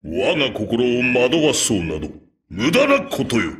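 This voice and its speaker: An actor playing a ruthless, arrogant old martial-arts master in a fighting game, barking taunts and battle cries in a gravelly, husky voice. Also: male voice, wildly swooping pitch, normal speed, a bit bright, full character voice. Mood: stern. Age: older. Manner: barks short commands and taunts, booming laugh. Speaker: video game character.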